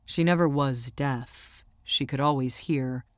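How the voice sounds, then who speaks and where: A woman voicing a calm, confident young man, easygoing and understated, as the romantic lead in an audiobook. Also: very deep voice, takes it slowly, dry recording. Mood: calm, assured.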